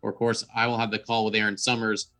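A man's voice: lowish voice, level tone, fast, pushed and bright, soft background music. A man hosting a sports interview in a conversational broadcaster's style. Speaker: sports podcast host and team radio announcer.

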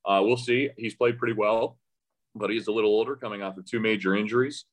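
A man's voice: lowish voice, steady tone, quick pace. A man, a sports announcer appearing as a guest, talks casually about the game, thinking aloud with frequent restarts. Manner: hesitant, conversational, thinking aloud with false starts. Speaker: radio play-by-play announcer as podcast guest. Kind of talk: casual sports interview talk.

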